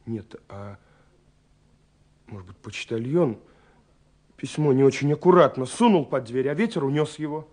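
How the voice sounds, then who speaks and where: Male actor as romantic young letter-writer, vintage radio drama: musing, tender, dark soft tone, mid pitch, often near whisper. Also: animated, normal speed, long pauses, natural voice. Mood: hopeful, pleading. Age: young adult. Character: dreamy, romantic young man writing love letters. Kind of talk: radio play monologue of read-out letters. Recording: 1970s radio recording with music behind. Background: music.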